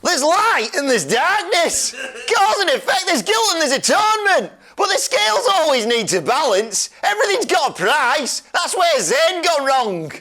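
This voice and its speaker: Woman doing a high, declamatory mock-dramatic game character. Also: very animated, unhurried, few pauses, bright, forceful tone, heavily acted. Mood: mock grave. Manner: exaggerated, declamatory quote reading.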